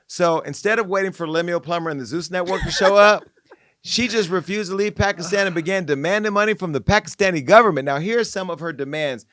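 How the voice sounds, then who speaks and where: Brief: man hosting a podcast, gossipy and laughing, with a slightly raised pitch and a dry close mic. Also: fast, a bit bright, no echo. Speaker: podcast host. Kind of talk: casual chat.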